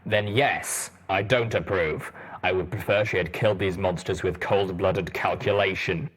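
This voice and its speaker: A man voicing a huge, stern armored ranger pony in an audiobook, with a rumbling voice and a blunt, deliberate manner. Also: steady tone, a bit bright, breathy edge, rough recording. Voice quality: deep, rumbling.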